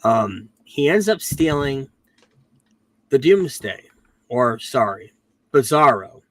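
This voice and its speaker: A man recapping a TV series on a casual podcast, his voice worn from a cold he is getting over. He talks in a rambling way, filling gaps with ums and correcting himself as he goes. His pitch sits in an ordinary middle range. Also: big pitch swings, slow, a bit bright, low-quality recording. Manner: rambling, self-correcting, frequent "um"s. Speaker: podcast host reviewing a TV series.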